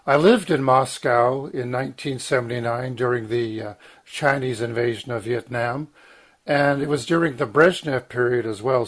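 Husky croaky man in his sixties. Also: medium pitch. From Lower Mainland, Canada. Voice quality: husky, clears throat often. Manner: earnest, emphatic, with frequent ums and restarts. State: croaky from medication. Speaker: guest speaker, former journalist. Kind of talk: long spoken commentary, guest talk.

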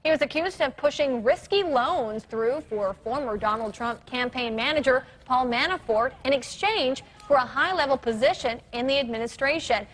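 A woman reads a scripted news report. Her voice is on the high side, and some faint noise and ambience sit behind it. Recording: clear background noise and ambience.

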